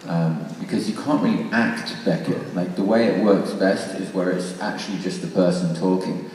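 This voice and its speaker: A man's voice: deep voice, moderate pace, darkish tone. A man speaking informally and thoughtfully, explaining his views in a panel discussion. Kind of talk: spoken panel commentary, informal. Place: large reverberant room at a public discussion. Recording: very echoey hall, distant mic, faint noise.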